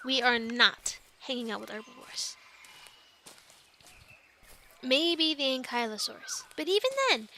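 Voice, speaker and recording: Bright, high-voiced plucky young castaway played by a woman. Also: slight background noise, full character voice. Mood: incredulous, amused. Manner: chatty, animated, halting with stammered asides. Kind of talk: ASMR roleplay, conversational one-sided dialogue.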